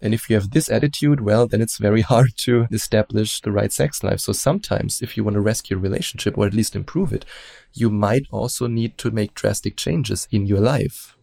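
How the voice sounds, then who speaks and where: A man with a German accent, a relationship coach giving measured advice as an interview guest, often hedging with "let's say". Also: on the low side, gravelly, mellow, dry recording, clean studio sound. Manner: explanatory, measured, hedges with "let's say".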